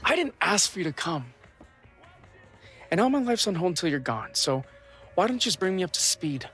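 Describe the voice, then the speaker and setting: A man's voice: high voice, sing-song, brisk, fairly bright tone, soft background music. An actor playing a stubborn, earnest young man in a video game, speaking casually and matter-of-factly. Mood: resolved. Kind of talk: video game dramatic acting.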